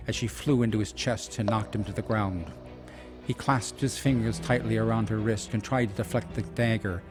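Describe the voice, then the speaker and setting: A man's voice: on the low side, fairly even intonation, normal speed. A man narrating a scripted fantasy radio play in a dramatic storytelling style. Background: music.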